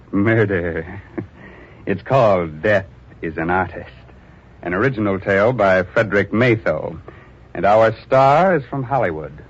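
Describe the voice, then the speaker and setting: A man's voice: fairly low pitch, breathy edge. A man hosting a 1940s radio horror show with sly, punning, chuckling patter. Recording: dull lo-fi old radio recording.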